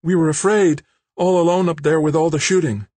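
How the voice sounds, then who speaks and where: A man's voice: medium pitch, expressive, a little fast, no room sound, voice pushed up, close to an everyday voice. A man voicing a frightened young woman captive in an audiobook, pleading in a shaky, breathless way.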